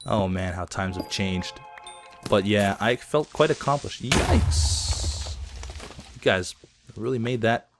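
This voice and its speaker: A man in his thirties, somewhat hoarse, telling chatty, self-deprecating stories while he plays a game. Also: lowish voice, a little hiss or hum. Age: 30s. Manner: chatty storytelling, self-deprecating, laughs often. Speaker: gaming video host. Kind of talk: casual gameplay commentary.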